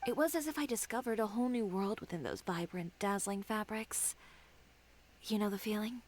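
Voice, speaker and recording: A woman's voice: mid-range voice, normal speed, a little mellow, a little hiss or hum, lightly acted. An actress playing an assertive, poised young female fashion designer in a video game, telling things in a self-assured way. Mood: reminiscing.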